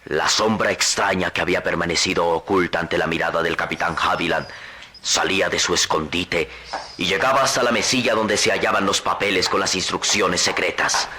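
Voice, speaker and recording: A man's voice: deep voice, fairly quick, sharp tone. A man narrating an old radio drama in a grave, suspenseful tone.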